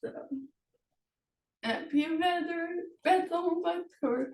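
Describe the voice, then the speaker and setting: Halting, high-voiced woman in an echoey room. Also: a little mellow. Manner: hesitant, halting, trailing off. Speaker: author hosting a promotional chat. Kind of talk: casual video chat. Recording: echoey room, distant from mic.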